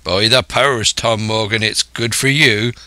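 A man acts out a hearty old-time seafaring landlord from an adventure storybook. He is jovial yet domineering, booming out salty sailor talk in a bright, forceful, close and dry voice. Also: fairly even intonation, measured pace, no room sound. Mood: stern, bullying.

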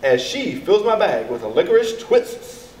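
Middle-aged male storyteller, expressive and deliberate for young children, slightly high voice, very roomy off-mic sound. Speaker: elementary school staff member reading to children. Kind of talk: animated children's storybook read-aloud. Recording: echoey, distant mic with background noise.

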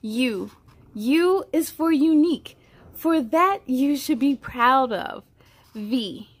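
Female story-time host, higher-pitched, unhurried delivery, encouraging read-aloud for kids. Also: expressive, slightly rough. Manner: encouraging, affirming, addresses young listeners directly. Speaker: host reading aloud for children. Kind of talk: children's picture book read-aloud with casual comments.

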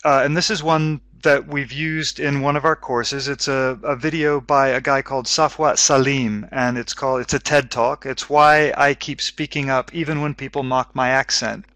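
A middle-aged man presents a webinar on teaching English, explaining in a measured way through a headset mic. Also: mid-range voice, fairly even intonation, a bit bright, no echo. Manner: measured, explaining with frequent restarts. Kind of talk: educational webinar talk.

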